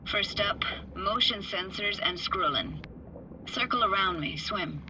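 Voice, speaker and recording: A playful, self-assured young techie woman played by an actress in a cinematic video game. She talks in laid-back slang with a teasing edge, and clear watery ambient noise runs behind her. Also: mid-range voice, lively intonation, unhurried, natural voice. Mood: focused, casual. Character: playful, self-assured young female braindance editor and diver.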